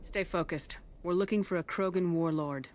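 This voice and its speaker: An actress playing a tough, composed military commander in a space video game, terse, dry and in command. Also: female voice, on the low side, normal speed, very noisy, natural voice. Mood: cool, commanding. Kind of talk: video game cutscene acting.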